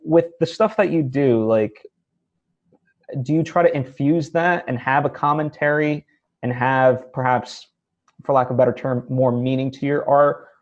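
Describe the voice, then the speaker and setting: A man's voice: mid-range voice, moderate pace, highs cut off. A man hosting a casual interview, circling toward his points in a hesitant, conversational way. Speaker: interviewer, host.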